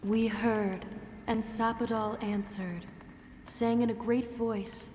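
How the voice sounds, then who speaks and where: A woman's voice: fairly high pitch, fairly even intonation, slow pace, a little mellow. A woman voicing an ancient, solemn plant spirit in a video game, stately, formal and portentous. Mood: grave, oracular. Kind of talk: video game dramatic dialogue. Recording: long reverb, faint background noise.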